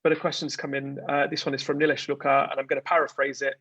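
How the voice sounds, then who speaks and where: Husky-voiced man hosting a panel. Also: mid-range voice, level tone, quick pace, a bit bright, no echo, lo-fi sound. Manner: polite, thanking and paraphrasing questions. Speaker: panel host. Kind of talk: moderating an online panel.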